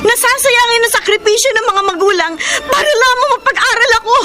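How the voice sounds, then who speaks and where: A young student daughter in a radio drama, very high and bright in voice. She is earnest and wounded, pleading and defiant with emphatic feeling, over soft music. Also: a little fast. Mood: indignant, tearful. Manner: emotional, emphatic, pleading delivery. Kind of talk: Tagalog radio drama acting.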